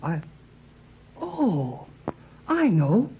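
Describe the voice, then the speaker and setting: An animated, plucky young sidekick, full of breezy folksy slang. The delivery belongs to an old radio adventure serial. The sound is slightly muffled with faint noise. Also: female voice, fairly low pitch, drawn-out words, full character voice. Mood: excited.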